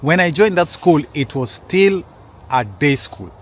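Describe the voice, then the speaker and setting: A man's voice: fairly high pitch, expressive, a little slow, slight background noise. A man telling a personal story in a casual, rambling way, with frequent restarts and asides to his listeners. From Kenya.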